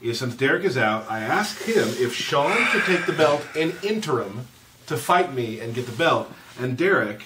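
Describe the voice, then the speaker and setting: A man with a medium-pitched voice hosts a gaming stream in a loose, conversational style. He is slightly distant from the mic, and there is clear noise around him. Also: lively intonation, normal speed. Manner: informal, conversational. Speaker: stream host. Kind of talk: casual chat and game commentary.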